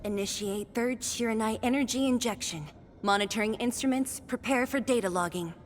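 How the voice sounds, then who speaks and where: An actress plays a commanding female scientist, composed and firm. Her fairly high voice rings in long reverb over faint noise, with clipped game-drama delivery. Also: fairly quick, natural voice. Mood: focused, commanding. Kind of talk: video game voice acting.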